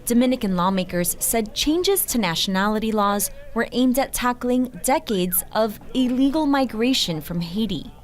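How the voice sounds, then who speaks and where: A woman's voice: a little high, animated, normal speed, few pauses. A woman reading scripted news documentary narration in the manner of a reporter.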